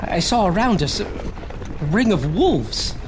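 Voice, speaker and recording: A man's voice: high voice, big pitch swings, heavily acted. An actor playing an anxious young English lawyer's clerk recounting his journal in a hesitant, foreboding audio drama style. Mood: tense dread. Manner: hesitant, foreboding, with halting repetitions. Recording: clear background noise, carriage ambience.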